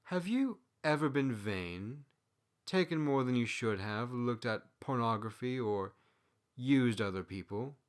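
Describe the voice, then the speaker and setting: A man voicing a calm, forgiving friar in a storybook, asking measured, probing questions. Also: medium pitch, natural voice.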